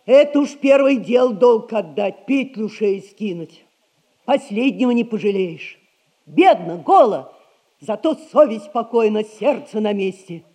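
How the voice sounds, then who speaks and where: A woman's voice: a little high, lively intonation, a little slow, mellow. An actress playing a poor, honest, plain-spoken middle-aged widowed mother in a theatrical audio comedy. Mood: firm, homely. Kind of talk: classic Russian stage comedy acting, audio play.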